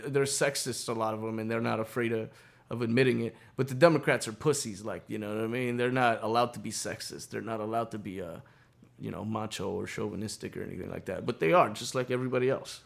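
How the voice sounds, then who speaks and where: A man's voice: lowish voice, quick pace, gravelly. An American man co-hosting a casual radio talk show, chatting about politics in a crude, irreverent, profane way.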